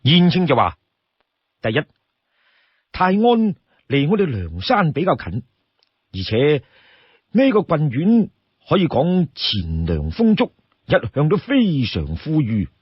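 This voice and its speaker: A male storyteller narrates a classic novel with hugely varied intonation. His voice is husky and unhurried. He delivers the tale expressively, slipping into dialogue as he goes. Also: on the high side, huge pitch swings, dry recording, dull audio.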